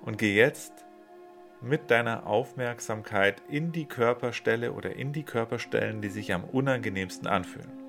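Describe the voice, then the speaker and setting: A man leading a guided meditation, giving slow, soothing instructions with long pauses. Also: medium pitch, vocal fry. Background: soft instrumental music.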